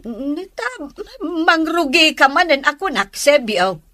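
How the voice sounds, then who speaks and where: A woman acts out a middle-aged market seller in a radio drama, easily exasperated. Her voice is fairly high and bright, with slow, drawn-out delivery. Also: lively intonation, no room sound, clean studio sound, full character voice. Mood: businesslike. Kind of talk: Ilocano radio drama acting.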